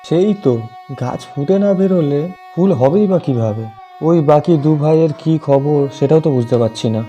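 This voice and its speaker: A man's voice: fairly high pitch, normal speed, mellow, faint music behind, lightly acted. A man acting as a village headman's grown son in a cartoon folk tale. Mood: worried. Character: village headman's sons, from scheming eldest to honest youngest.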